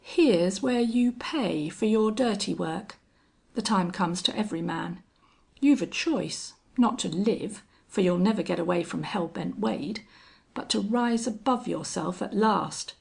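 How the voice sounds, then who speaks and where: Woman as a steely, taunting gunfighter, gravelly voice. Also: mid-range voice, measured pace, exaggerated voice. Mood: menacing. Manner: strange monotone, taunting, cold. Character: steely, contemptuous male gunfighter, older avenging father. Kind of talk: audiobook character acting.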